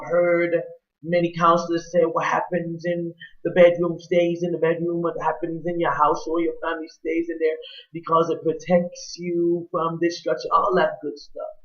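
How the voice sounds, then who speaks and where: A male preacher delivers an oratorical sermon in a high-pitched voice. He moves slowly and emphatically through ornate, repeated phrases. The recording is slightly dull and lo-fi. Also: little pitch movement. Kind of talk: sermon with rhetorical repetition.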